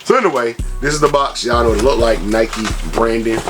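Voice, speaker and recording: A man's voice: medium pitch, brisk, over music. A man hosting a casual sneaker review vlog, talking in a slangy, conversational way full of tag phrases. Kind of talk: casual product review vlog with shout-outs.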